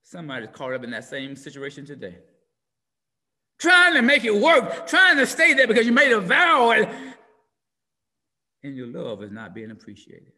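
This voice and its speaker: A middle-aged man preaching an impassioned sermon from home over a video call, swinging from shouts down to hushed near-whispers in a repetitive cadence. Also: high voice, wildly swooping pitch, normal speed, pauses often. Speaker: pastor. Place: home room with window blinds. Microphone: video call webcam. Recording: video call audio with long reverb.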